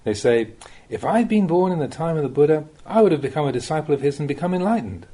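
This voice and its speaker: A man reads spiritual teachings aloud in a soft, dark-toned voice with a slightly husky grain. His pitch rises and falls freely as he stresses key phrases. The delivery is plain-spoken and measured, like a monk instructing students. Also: animated. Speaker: Buddhist monk reading translated teachings. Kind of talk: reading a recorded Dhamma talk aloud.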